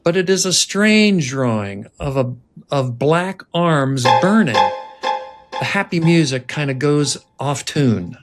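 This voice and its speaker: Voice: mid-range voice, animated, takes it slowly, over loud music. A narrator reading scripted stage directions aloud at a film table read.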